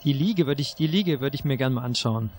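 A man's voice: mid-range voice, lively intonation, fairly quick, husky. A man speaking casually as a contestant in an audio mystery game, politely asking what he can look at.

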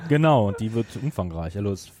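A man's voice: lowish voice, animated, fast, a little mellow. A man co-hosting a podcast, chatting casually and teasing the others. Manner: teasing, bantering. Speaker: podcast co-host. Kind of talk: casual chat.

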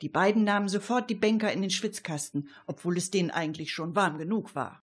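Slightly husky woman presenter. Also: lowish voice, brisk. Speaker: radio show presenter and co-narrator. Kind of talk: scripted presenting and story narration.

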